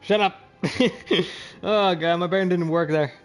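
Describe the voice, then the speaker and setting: A man doing casual, profane live game commentary as a streamer, often sounding confused and reacting out loud. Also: sits high, takes it slowly, soft background music. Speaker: video game livestreamer.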